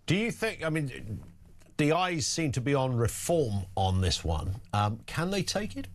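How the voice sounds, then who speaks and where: Brisk male talk radio host. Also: mid-range voice, big pitch swings, a little hiss or hum. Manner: brisk, conversational, leading questions. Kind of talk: live talk radio hosting.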